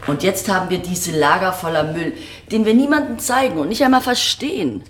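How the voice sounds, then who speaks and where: An actress playing a blunt, disillusioned museum director in a German radio drama, speaking in a matter-of-fact, sardonic way. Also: female voice, lowish voice, animated, brisk, natural voice. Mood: melancholic, bitter. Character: blunt, disillusioned female museum director explaining her collection. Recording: roomy, echoing archive-like acoustic.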